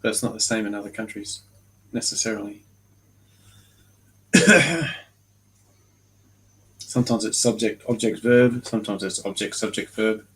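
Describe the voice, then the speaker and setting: A man's voice: lowish voice, little pitch movement, moderate pace, long pauses, husky, poor audio. An Australian man co-hosting a craft livestream, chatting casually and joking, wandering off into playful asides. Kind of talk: casual chat.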